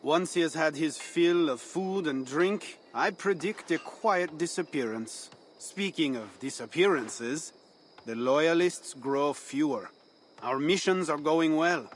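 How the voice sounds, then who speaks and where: An actor playing a cynical French rebel leader in a video game, sardonic and guarded, with a French accent. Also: male voice, fairly high pitch, slow pace, a little hiss or hum. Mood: dry, cynical. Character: wry, cynical male French rebel leader, secretly softhearted. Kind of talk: dramatic video game acting.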